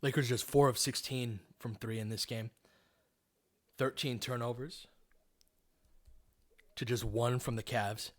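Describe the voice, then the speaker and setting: A man's voice: mid-range voice, fairly even intonation, measured pace, long pauses. A man calling live basketball play-by-play in a casual, conversational, analytical way. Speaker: play-by-play host.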